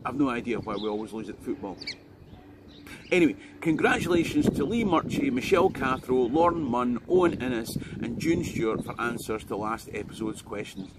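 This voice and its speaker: Scottish male guide, conversational and wry, loud background noise from outdoors. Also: mid-range voice, big pitch swings, normal speed. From Scotland. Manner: jokey and conversational, with asides to the viewer. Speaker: history tour guide. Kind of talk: informal history talk to camera. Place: outdoors on a tidal island.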